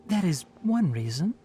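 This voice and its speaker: A man voicing a calm, principled young forest ranger scholar in a video game, measured and precise. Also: high voice, full character voice. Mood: matter-of-fact. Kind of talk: animated game character voice acting.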